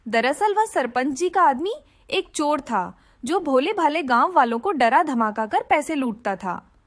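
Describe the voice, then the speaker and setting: A woman's voice: sits high, animated, measured pace, dry recording. A woman narrating a scripted moral story for a cartoon.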